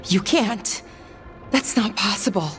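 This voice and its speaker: A woman's voice: mid-range voice, slow, a bit bright, soft background music. A woman voicing an earnest, knowledgeable elf in a fantasy video game cutscene. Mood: earnest, troubled.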